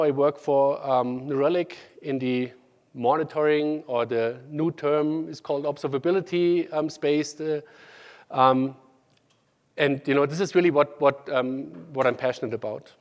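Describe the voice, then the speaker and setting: A man from Germany with a German accent, giving a conference tech talk, halting with many ums and restarts. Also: a little high. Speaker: conference speaker. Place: large conference hall. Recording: hall reverb.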